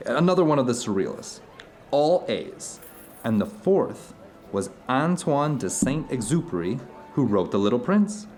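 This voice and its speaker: A man's voice: on the low side, a little mellow, natural voice. An actor playing an introspective man who tells uncanny personal anecdotes to an old friend in an audio drama, rambling, earnest and emphatic. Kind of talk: audio drama dialogue, conversational monologue.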